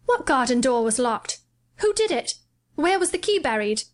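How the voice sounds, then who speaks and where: Woman playing a spoiled invalid boy, ten years old, high-pitched, imperious, petulant. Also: moderate pace, fairly bright tone. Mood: eager. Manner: demanding, coolly indifferent, petulant. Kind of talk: audiobook character voice.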